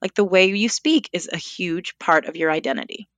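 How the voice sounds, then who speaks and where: Animated woman on a podcast, lowish voice. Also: normal speed, no room sound. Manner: animated, thoughtful, emphatic. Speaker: speech language pathologist. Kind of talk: conversational podcast discussion.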